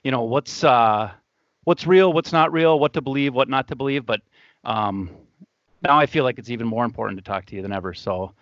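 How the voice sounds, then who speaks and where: A man's voice: mid-range voice, a little fast, a bit bright, no room sound, lo-fi sound. A man hosting a podcast in a casual, earnest, conversational way. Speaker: podcast host.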